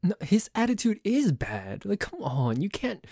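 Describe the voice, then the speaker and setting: A man's voice: high-pitched, wildly swooping pitch, unhurried, gravelly, mellow, no room sound, studio quality, heavily acted. A man playing a dorky, jealous boyfriend in an ASMR roleplay, complaining in a stammering, exasperated way that sometimes drops toward a whisper. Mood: annoyed, whiny. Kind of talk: ASMR roleplay audio drama.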